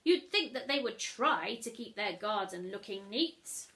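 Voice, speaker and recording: A woman's voice: medium pitch, animated, unhurried, gravelly, a bit bright. A woman voicing a snobbish, fussy female neighbour from a storybook, indignant and scandalised.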